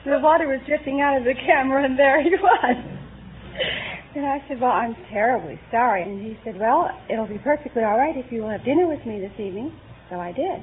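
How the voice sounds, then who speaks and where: A woman's voice: high-pitched, fairly quick. A woman from New York State chatting and telling a story as a quiz contestant. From New York State, United States. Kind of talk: conversational storytelling. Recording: tinny old radio recording, faint noise, audience. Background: studio audience.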